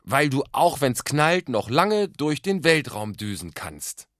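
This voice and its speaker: Man playing a nervous, high-pitched young boy. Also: very animated, a bit bright, dry recording, studio quality. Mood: loud, deliberate reciting. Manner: hesitant, deliberate, effortful. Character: nervous young boy, anxious but imaginative, reciting a poem.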